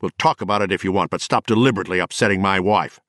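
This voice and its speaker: A man's voice: low voice, very fast, a bit bright, no room sound, studio quality. A man voicing a firm husband telling someone to stop upsetting his wife.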